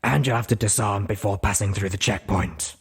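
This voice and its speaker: A man's voice: fairly low pitch, a little fast, breathy edge. A sceptical gatekeeper pony, played by a male narrator, curt and grudging. He speaks as a hard-to-impress guard in a storybook tale. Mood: curt, demanding.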